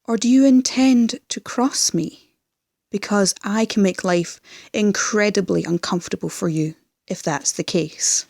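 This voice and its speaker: A woman acts out a scheming female crime boss in an audio drama, speaking slowly in a close, dry sound. She is commanding and sardonic, used to being obeyed. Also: mid-range voice, gravelly, darkish tone, no echo. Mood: cool threat. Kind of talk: fan audio drama acting.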